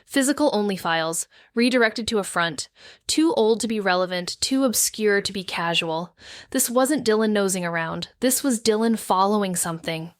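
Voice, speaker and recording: Woman acting as guarded female detective, audio drama, mid-pitched, deliberate, wry, very dry sound. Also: no room sound, lightly acted. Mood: wry, brooding. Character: guarded, world-weary woman amateur sleuth, former girl detective. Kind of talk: noir audio drama, first-person narration and dialogue.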